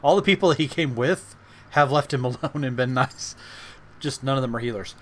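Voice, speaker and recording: A man's voice: medium pitch, fairly quick, fairly bright tone. A man chatting casually and recapping the story as game master and host of a tabletop game stream. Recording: clear background noise, live stream.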